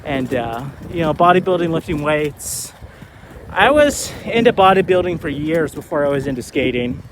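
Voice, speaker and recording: A man in his thirties talking casually in a vlog, relaxed and rambling with lots of fillers, recorded outdoors while skating. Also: fairly high pitch, normal speed, noisy background. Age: 30s. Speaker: vlogger.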